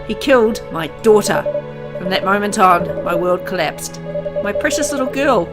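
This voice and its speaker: A grieving father, bitter and haunted by guilt, voiced by a woman. The delivery is brooding and dramatic, as in a visual novel, with loud music underneath. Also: mid-range voice, animated, lightly acted. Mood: anguished. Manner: dramatic, brooding, deliberate phrasing.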